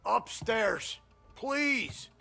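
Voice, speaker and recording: A man playing a shifty small-time informant in a game cutscene, with a raspy voice and an American accent. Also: very high-pitched, expressive, extremely slow, bright, forceful tone, soft background music, full character voice. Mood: wary. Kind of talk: video game cutscene acting.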